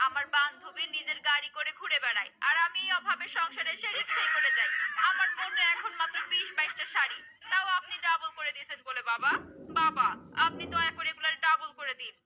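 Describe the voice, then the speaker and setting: A woman's voice: high voice, fast talker, sharp tone, slight background noise, poor audio. A woman voicing a gullible, greedy wife and mother in a cartoon, pushy and dramatic. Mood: bossy. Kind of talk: cartoon character dialogue.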